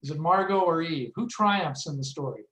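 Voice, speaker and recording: A man with a high-pitched voice moderates a panel in a conversational way. The sound is noticeably roomy and echoing. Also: expressive, normal speed, a bit bright.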